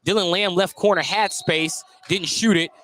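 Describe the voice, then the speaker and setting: A man's voice: sits high, steady tone, moderate pace, a bit bright. A man doing live play-by-play basketball commentary for radio, conversational and given to joking asides between calls. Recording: clear background noise, live gym broadcast.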